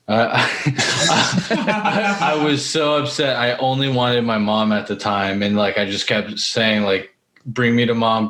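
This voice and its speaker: A man's voice: mid-range voice, a bit bright. A man chatting informally as a podcast host, telling personal stories with casual swearing. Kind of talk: casual chat with personal anecdotes. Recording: roomy, echoey room sound.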